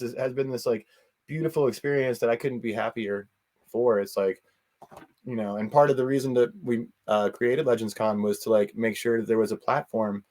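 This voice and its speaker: A man's voice: mid-range voice, a little mellow. Earnest, rambling man in casual interview. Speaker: interview guest, convention organizer.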